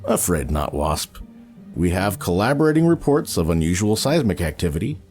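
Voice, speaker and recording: An actor playing a grave military official giving a briefing in an audio drama. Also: male voice, low-pitched, sing-song, normal speed, a little mellow, faint music behind.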